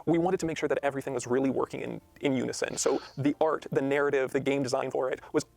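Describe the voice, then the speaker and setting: A man's voice: medium pitch, steady tone, fast talker, gravelly, darkish tone. A man explaining design choices in an interview as a game designer, articulate and emphatic.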